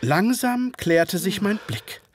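An actor playing a gentleman physician and former soldier, narrating an audio drama in measured, literary fashion. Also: high voice, expressive, full character voice. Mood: relieved, composed.

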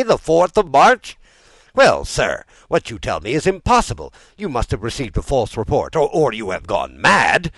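A man's voice: lowish voice, no echo, voice pushed up, exaggerated voice, poor audio. A man voicing an indignant, imperious French king in an audiobook, commanding and emphatic. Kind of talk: audiobook character dialogue.

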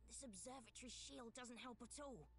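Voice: mid-range voice, brisk, fairly bright tone, slight background noise, natural voice. An actor voicing a nervous, earnest young engineer in a video game, speaking in a hesitant way. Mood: uneasy. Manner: hesitant, anxious. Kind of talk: video game drama acting.

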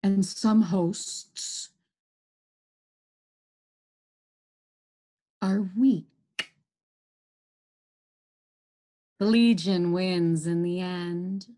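Woman acting a slow, boastful, menacing virus. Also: on the low side, fairly even intonation. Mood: gloating. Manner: theatrical, drawn-out, gloating, swinging from outbursts to hushed menace. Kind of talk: dramatic stage monologue. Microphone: computer microphone, close webcam framing.

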